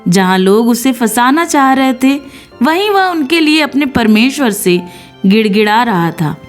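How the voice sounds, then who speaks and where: A woman's voice: on the high side, sing-song, measured pace. A woman narrating scripted Bible stories in an earnest devotional storytelling style. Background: background music.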